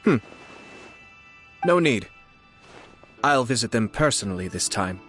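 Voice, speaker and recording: A man's voice: medium pitch, sing-song, measured pace, fairly bright tone, faint music behind. An actor playing a composed young male noble clan head in a video game, speaking formally and graciously. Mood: measured.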